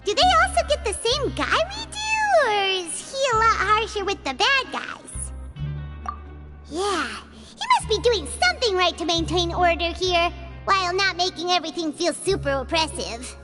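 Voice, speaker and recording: A woman's voice: extremely high pitch, big pitch swings, normal speed. A woman voicing a tiny, chatty, childlike fairy companion in a video game, eager, naive and exclamatory. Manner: speaks of herself in third person, exclamatory. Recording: loud music behind the voice. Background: loud game music.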